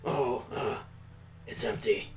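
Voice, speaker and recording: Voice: mid-range voice, takes it slowly, darkish tone, soft background music, voice pushed down, natural voice. A gaming host voicing a sheepish boy cook from a visual novel, hesitant and stammering. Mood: nervous, evasive.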